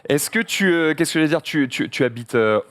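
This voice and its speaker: A man's voice: fairly high pitch, animated, fairly quick, a bit bright, no echo, clean studio sound. A man hosting a casual stream interview, asking questions playfully and stumbling over his words. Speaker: host.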